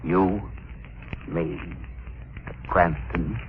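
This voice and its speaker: A man's voice: low-pitched, steady tone, drawn-out words, creaky, heavily acted. An actor playing a vengeful, gloating escaped madman, taunting and theatrical, in a 1940s radio drama. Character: vengeful male madman, escaped asylum inmate, gloating villain. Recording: tinny, noisy 1940s radio broadcast.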